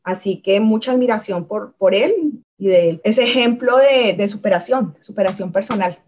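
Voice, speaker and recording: A woman with a Colombian accent from Cali tells her own story in a medium-pitched voice. She speaks casually as an interview guest, thinking aloud in a reflective way with halting pauses. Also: a little mellow, soft background music.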